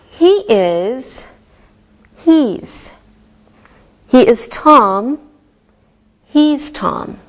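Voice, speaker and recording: A woman teaching a beginner English lesson, speaking deliberately and enunciating every word clearly. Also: a little high. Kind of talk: slow, scripted ESL lesson delivery.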